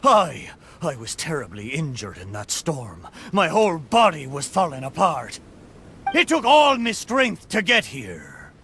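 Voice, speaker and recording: Bright, swooping ship spirit. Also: male voice, a little high, wildly swooping pitch, unhurried, heavily acted. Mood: horrified, anguished. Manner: theatrical, exclamatory pirate talk. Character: spirit of an old wrecked pirate ship, boisterous, emotional. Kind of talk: dramatic video game voice acting.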